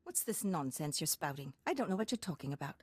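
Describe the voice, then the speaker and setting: A woman's voice: low-pitched, sing-song, fast, a little mellow, slightly rough, dry recording, heavily acted. An actress playing a haughty, easily rattled aristocratic lady in a video game, refined and dramatic. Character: haughty young aristocratic lady, anxious and pleading when threatened. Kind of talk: video game cutscene acting.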